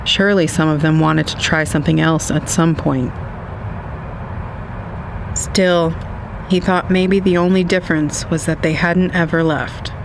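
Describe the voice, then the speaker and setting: A man reading scripted literary narration for an audio drama in a measured, wistful manner. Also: fairly high pitch, steady tone, creaky, background noise.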